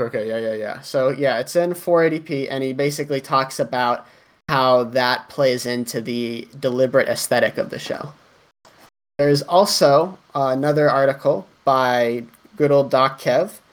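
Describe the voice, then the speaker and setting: A man co-hosting a casual anime podcast, rambling through his points with lots of fillers, restarts and "like". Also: medium pitch. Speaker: podcast co-host.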